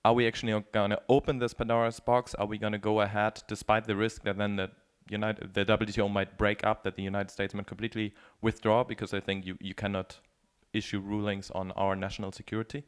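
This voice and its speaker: A man's voice: on the low side, level tone, brisk, few pauses, clean tone. A man working as a journalist, asking a panel a long question from the audience with many hesitations. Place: conference room.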